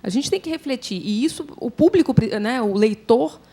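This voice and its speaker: A Brazilian woman journalist speaking informally on a panel, thinking aloud haltingly and often adding tag questions. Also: fairly high pitch, animated, dark tone. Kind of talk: panel discussion, informal opinion.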